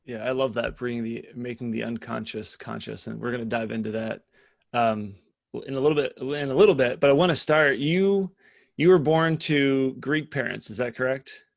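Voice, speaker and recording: Male webinar host, gravelly, mid-pitched, upbeat, restarts his phrases often. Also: moderate pace. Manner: enthusiastic, repeats himself while finding words. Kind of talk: conversational interview.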